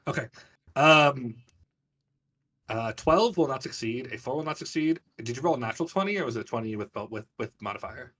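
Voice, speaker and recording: A man's voice: medium pitch, expressive, a little fast, bright, forceful tone. Game master, male, slightly distant mic. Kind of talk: narrating an online tabletop fantasy game.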